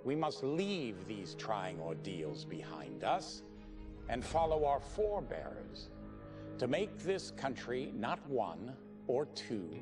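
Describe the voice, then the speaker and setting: Older male statesman, American accent, solemn oratory, mid-pitched, faint music underneath. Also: very animated. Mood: rousing resolve. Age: 60s. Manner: measured, oratorical, emphatic pauses. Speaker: president giving a speech. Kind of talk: formal televised speech, acted.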